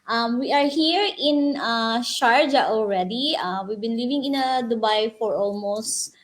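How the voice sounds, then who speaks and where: A woman from the Philippines co-hosting a casual online chat, friendly and halting, with many fillers. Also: fairly high pitch. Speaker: co-host. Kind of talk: casual live-stream chat.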